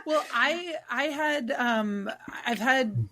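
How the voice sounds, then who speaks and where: A woman's voice: high voice, takes it slowly, pushed and bright, a little hiss or hum. A woman co-hosting a casual podcast, a bakery owner who talks thoughtfully and searches for her words with fillers and restarts.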